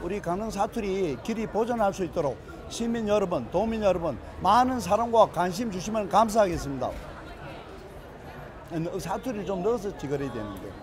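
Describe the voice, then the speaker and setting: A man's voice: sits high, animated, brisk, noisy background. Man in his sixties, formal festival interview. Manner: formal, with hesitant "eh" fillers. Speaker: head of a dialect preservation society. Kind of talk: interview comment. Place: outdoors under a festival tent. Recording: outdoor crowd behind.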